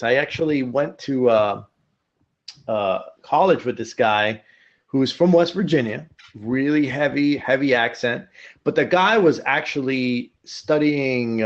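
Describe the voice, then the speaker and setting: A man's voice: medium pitch, lo-fi sound. A man hosting a podcast in casual chat, telling rambling stories full of fillers. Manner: rambling storyteller, many fillers. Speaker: podcast host.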